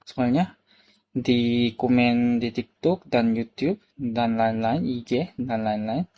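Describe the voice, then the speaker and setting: A man's voice: mid-range voice, dark tone, muffled recording. A man from South Korea with a Korean accent, a native Korean speaker, telling personal stories casually as a vlogger, in simple repeated phrases with rhetorical questions to the listener. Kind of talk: casual personal storytelling to camera.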